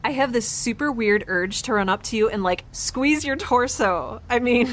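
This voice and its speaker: A woman playing an excitable, awkward, optimistic young space traveler in a science fiction audio drama, rambling in informal speech. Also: a little high, normal speed, lightly acted. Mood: excited, awkward.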